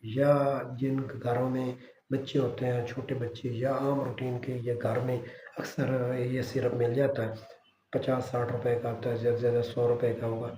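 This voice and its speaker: A man with a Pakistani Urdu accent explains bird care to his channel's viewers in a steady, reassuring way. His voice is mellow and flat in pitch. The room is echoey, with a faint hum of ambience behind him. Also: moderate pace. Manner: reassuring, repeats "theek hai" as a check-in. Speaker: bird-keeping channel host. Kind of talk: casual how-to talk to camera.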